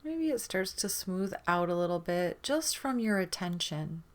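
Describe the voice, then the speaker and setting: Slow-paced woman guiding meditation. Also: lowish voice, sing-song. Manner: gentle, soothing, unhurried with long pauses. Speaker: meditation guide. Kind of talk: guided meditation.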